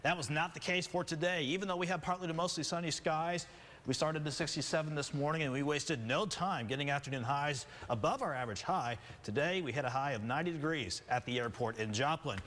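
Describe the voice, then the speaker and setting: A man's voice: a little high, brisk, fairly bright tone, big-room sound. An American man presenting a TV weather forecast in a polished, conversational way, stressing key words. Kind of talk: broadcast weather forecast, semi-scripted presenting. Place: TV news studio.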